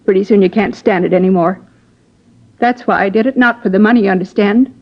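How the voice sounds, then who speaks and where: A woman playing a defiant, sharp-tongued woman who killed the man she loved, in a 1950s radio drama. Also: mid-range voice, fairly quick, close to an everyday voice. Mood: confessing. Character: bitter middle-aged woman, killer of jilted love. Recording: dull old radio broadcast.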